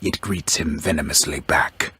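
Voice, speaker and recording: Game narrator: a grave mythic storyteller, extremely low and very husky, pompous, wry, ornate delivery. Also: male voice, dry recording, heavily acted. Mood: solemn, wry. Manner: solemn, deliberate, ornate, wryly deadpan. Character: grave, pompous old storyteller narrating Greek myth, wryly ominous. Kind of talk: scripted dramatic storybook narration.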